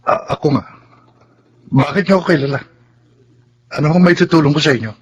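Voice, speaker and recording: A man's voice: a little high, brisk, husky, dull audio. An actor playing a vulnerable, earnest young Filipino man in a radio drama. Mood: bewildered, earnest. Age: young adult. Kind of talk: Tagalog radio drama acting. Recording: dramatic music underneath.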